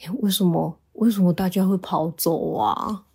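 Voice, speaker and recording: A woman's voice: lowish voice, steady tone, moderate pace, gravelly, dark tone, breathy edge, exaggerated voice. A woman voicing a grumpy, lonely tiger in a bedtime story, whining and exclaiming. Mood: puzzled. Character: grumpy, easily angered but lonely tiger wanting friends.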